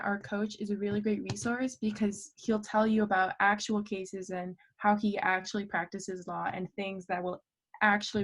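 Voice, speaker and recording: A young woman in a college mock trial club, chatting in an upbeat, giggly way on a video call. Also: mid-range voice. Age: young adult. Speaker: mock trial member running questions. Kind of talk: casual Zoom chat.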